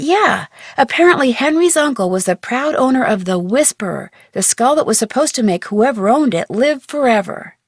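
An actress voicing a curious, plucky young female detective in a video game, with a brisk, wry tone. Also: on the high side, dry recording, studio quality. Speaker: game character, teen detective.

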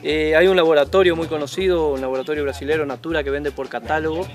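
A man's voice: a little high, steady tone, quick pace. A man in his forties with an Argentine accent, a nature guide explaining plants patiently with frequent hesitations, recorded outdoors on a forest trail with a lapel mic. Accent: Argentine Spanish. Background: music.

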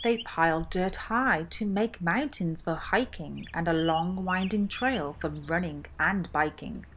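A young woman reading a children's storybook aloud in an expressive style, with deliberate, emphatic phrasing. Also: lowish voice, slight background noise. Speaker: children's book reader.

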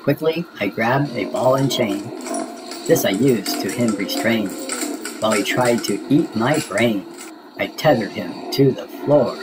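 A man reading a spooky rhyming children's story aloud in a theatrical, deliberate way, as a storybook narrator. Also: fairly low pitch, animated, noisy background.

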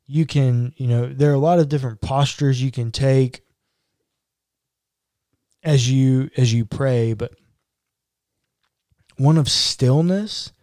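A young man, a pastor, talking on a podcast in a measured, earnest and reflective way. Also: medium pitch, darkish tone, no room sound, clean studio sound. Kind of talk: casual chat. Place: small home studio room. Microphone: boom-arm podcast mic.

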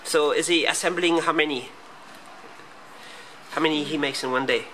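A male interviewer with a high-pitched, sharp, very bright voice. He asks short, inquisitive questions in a casual way and often repeats them. There is faint workplace noise behind him. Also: fairly even intonation, moderate pace, low-quality recording. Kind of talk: casual on-the-spot factory tour questioning. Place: busy small factory and office. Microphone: handheld camera, speaker behind it.

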